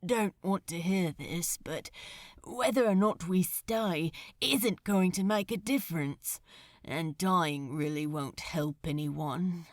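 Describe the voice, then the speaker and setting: A woman voicing a weary, injured, grimly practical male demon with a hoarse, gravelly voice. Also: fairly low pitch, slow pace, dry recording, clean studio sound.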